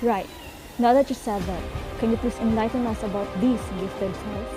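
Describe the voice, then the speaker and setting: A woman's voice: fairly high pitch, moderate pace, over music, natural voice. A woman voicing a stern, impatient investigator who questions students in a firm, businesslike way in a student radio drama.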